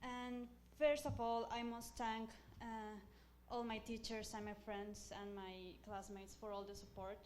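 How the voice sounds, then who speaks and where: A young woman speaks a touch high, hesitant and nervous, through a podium microphone in a small screening room. A faint hum sits under her voice. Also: steady tone, measured pace, hardly any pauses, a little mellow. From Mexico. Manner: hesitant, with fillers and apologies. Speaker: graduating student presenter. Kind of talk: nervous presentation speech.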